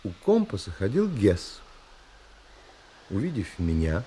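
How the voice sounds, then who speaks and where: An actor playing an earnest, determined young gentleman in a dramatised audiobook, with expressive, literary delivery. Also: on the low side, takes it slowly, noisy background, full character voice. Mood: reflective narration. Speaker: actor narrating and playing the lead.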